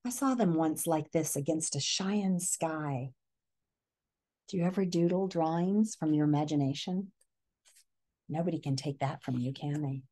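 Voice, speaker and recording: Middle-aged American woman reading aloud expressively. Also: sits low. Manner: expressive, questioning, pausing to address young listeners. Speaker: read-aloud presenter. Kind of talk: picture book read-aloud with teacher-like asides and questions. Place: living room, indoors.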